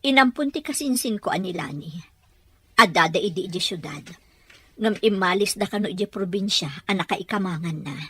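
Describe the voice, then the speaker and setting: Husky, sorrowful Filipino mother, played by a woman. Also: mid-range voice, lively intonation, normal speed, no echo. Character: sorrowful, tender mother of separated twins. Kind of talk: Ilocano audio drama acting.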